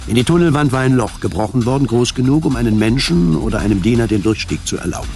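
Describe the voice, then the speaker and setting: A man's voice: medium pitch, quick pace. A man reading scripted narration for a radio drama. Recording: loud music underneath. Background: loud music.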